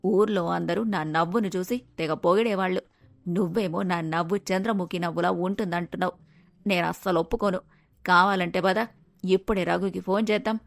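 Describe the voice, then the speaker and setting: A woman's voice: fairly low pitch, fairly even intonation, normal speed, creaky, dry recording. A woman playing a vain, touchy middle-aged mother-in-law in a cartoon moral story, querulous and full of questions. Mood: offended, boastful.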